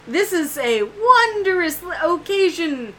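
A woman's voice: extremely high pitch, big pitch swings, takes it slowly, pushed and bright, a little hiss or hum, pitched above the performer's own voice, heavily acted. A woman voicing a noble male knight who speaks formally and earnestly. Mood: solemn. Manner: courtly, old-fashioned speech.

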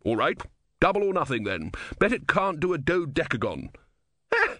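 A pack of petulant philosophers bickering over bets, all put on by one male reader. The voices climb high and jump around excitedly. Close, dry sound. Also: normal speed, no room sound, exaggerated voice. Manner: petulant and squabbling. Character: squabbling grown male philosophers, petulant bettors.

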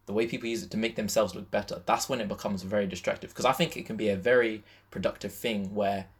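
A man's voice: fairly low pitch, fairly even intonation, fast, breathy edge. A British man with a London accent co-hosting a podcast in a casual, slangy, conversational way. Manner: slangy, conversational, peppered with "yeah" and "like". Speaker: podcast co-host.

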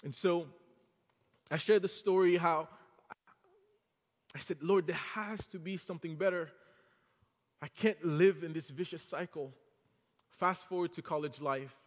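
A man from Haiti who speaks English with a French accent preaches in an earnest, building way. His voice sits fairly high for a man. The church sanctuary leaves a long, lingering reverb behind his words. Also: measured pace, pauses often. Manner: earnest, rising to fervent exhortation. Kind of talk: sermon.

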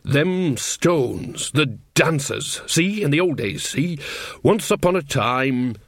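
A man voicing an evasive, exasperated old country mother, rambling and halting in an audiobook. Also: a little high, animated, a bit bright, no room sound, clean studio sound, full character voice. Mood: hesitant, explaining. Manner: vague, halting, rustic grammar. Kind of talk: audiobook character acting.

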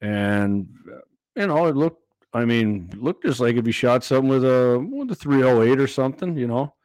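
A hoarse man, hosting a casual podcast and talking haltingly, repeating words as he goes. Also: medium pitch, animated, darkish tone, dry recording. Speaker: podcast host.

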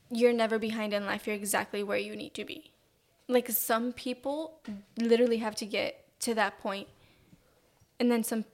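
A young woman hosting a casual podcast chat, argumentative and profane, often laughing. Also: fairly high pitch. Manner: argumentative, swears, laughs a lot. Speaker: podcast host.

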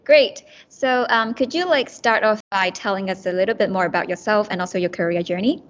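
A woman's voice: mid-range voice, brisk. A young woman hosting a student podcast, asking questions with lots of hesitant fillers. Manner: hesitant, uses many fillers like "um" and "like". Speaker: podcast host, student club director. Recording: dull lo-fi with faint music.

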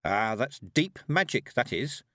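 A man's voice: medium pitch, sing-song, unhurried, fairly bright tone, no echo, studio quality, voice pushed up. A man voicing a proud old female witch, with a curt, haughty manner and rustic turns of phrase, in a storybook reading. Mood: wry.

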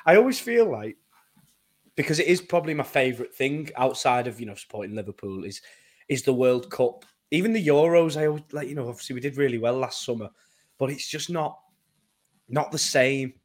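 A man's voice: mid-range voice, fairly quick. Laughing young man chatting football. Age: 20s. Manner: animated, laughs often, repeats words while thinking. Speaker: podcast co-host. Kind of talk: casual chat.

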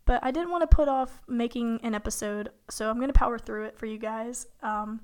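A young woman in her college years hosts a solo podcast, her slightly high voice still a little hoarse from illness. She rambles in a relaxed, chatty way, full of fillers and small laughs. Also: brisk. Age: young adult. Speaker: podcast host. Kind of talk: casual solo podcast talk.

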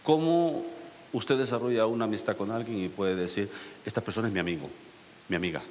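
A man's voice: fairly low pitch, brisk, a little mellow. A male pastor, preaching a sermon to his congregation, asking them questions and rising to emphatic peaks. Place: church sanctuary. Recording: echoey church hall with faint hiss.

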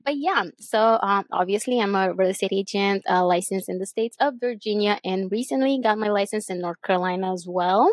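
A woman's voice: mid-range voice, a little fast, few pauses, no room sound. Bubbly Bulgarian-accented woman co-host. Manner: bubbly, enthusiastic, laughs often. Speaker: podcast co-host, real estate agent. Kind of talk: casual chat.

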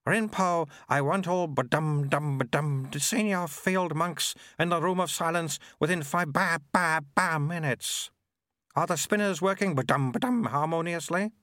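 A man's voice: on the high side, measured pace, fairly bright tone, no room sound, clean studio sound, voice pushed up. A man voicing a serene old abbot in a baby's body who babbles between his words. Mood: calm.

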